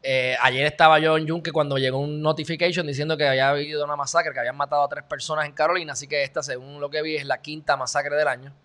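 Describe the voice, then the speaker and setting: A man with a Puerto Rican accent talks through the day's news in a loose, joking way, full of asides. His voice sits a little on the high side. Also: flat delivery, quick pace, few pauses, pushed and bright. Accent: Puerto Rican Spanish. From Puerto Rico. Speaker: video host. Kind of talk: casual news commentary.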